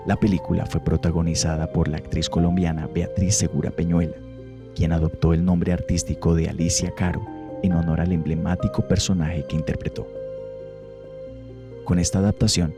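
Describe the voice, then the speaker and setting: A male narrator reads a documentary script in a formal, steady way. His voice is very low and barely moves in pitch, and clear music plays beneath him. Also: moderate pace. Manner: steady, formal, even delivery.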